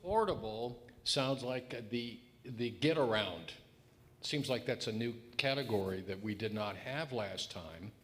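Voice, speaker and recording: A man's voice: lively intonation, unhurried, fairly bright tone. A man speaking informally from the audience, asking questions and commenting at a meeting. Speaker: audience member in discussion. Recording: echoing hall, noisy with low rumble.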